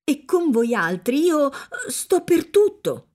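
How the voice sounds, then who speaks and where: A woman's voice: medium pitch, lively intonation, unhurried. A woman voicing an anxious, loving older peasant mother who talks earnestly and at length. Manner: earnest, fussing, talkative. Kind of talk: audiobook character reading.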